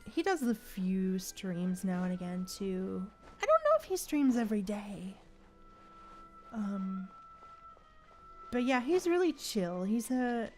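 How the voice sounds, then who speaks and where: A woman livestreamer chatting casually in a slangy, animated way while gaming. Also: medium pitch. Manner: chatty, animated retellings with frequent slangy exclamations.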